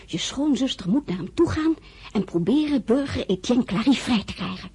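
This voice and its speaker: A practical, knowing kitchen maid in a radio play. Her voice is mellow and very husky. She gives advice in a confidential, urgent way. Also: a little high, animated, normal speed, heavily acted.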